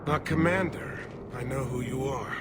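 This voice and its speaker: A steadfast alien field general, played by a man in a video game. His voice is mid-pitched and commanding, set against loud battle noise. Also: moderate pace, close to an everyday voice. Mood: resolute. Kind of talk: video game cutscene acting.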